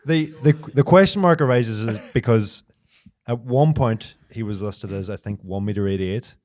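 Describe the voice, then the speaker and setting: A man's voice: lowish voice, normal speed, darkish tone. A man co-hosting a casual podcast chat, analytical, working through long explanations with restarts. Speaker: podcast co-host.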